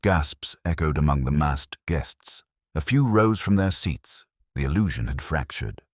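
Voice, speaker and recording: Male audiobook narrator for a mystery: very deep, expressive intonation, formal and measured. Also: dry recording, clean studio sound. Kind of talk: scripted mystery story narration.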